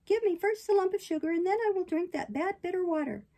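A woman's voice: extremely high pitch, fast talker, warm tone, pitched above the performer's own voice. A woman voicing a stubborn wooden puppet boy in a storybook, childish and thin, with plaintive protests. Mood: whiny.

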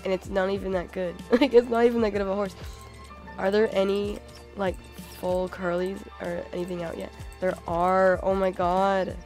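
A young woman with a hoarse, cold-worn voice, chatting casually and excitedly over her gameplay as a channel host. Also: medium pitch, normal speed, soft background music. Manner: excitable, many "oh my god"s and "like"s.

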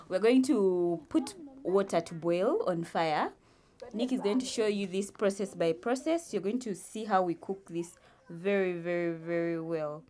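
A young woman casually explaining cooking for a vlog, deliberate and often repeating her phrases. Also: mid-range voice, a little mellow. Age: young adult. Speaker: guest vlog presenter.

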